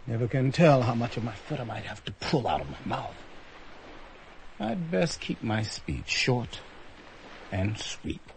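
Wildly expressive, musing husband. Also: male voice, medium pitch, a little slow, gravelly, long pauses, heavy background noise. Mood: self-mocking. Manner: dramatic, wondering, self-questioning. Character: musing husband and politician haunted by a space traveler. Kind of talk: radio drama acting.

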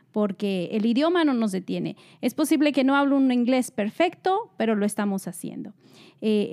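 A woman with a slightly high voice co-hosts a panel talk. She speaks in an upbeat, encouraging way, with bright rises in her voice. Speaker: co-host.

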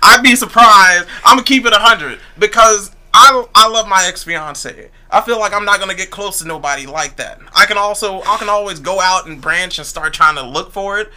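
Casual podcast guy, twenties, high and very bright, animated storyteller. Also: moderate pace, hardly any pauses. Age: 20s. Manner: animated storyteller, acts out quotes. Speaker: podcast guest. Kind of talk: casual chat.

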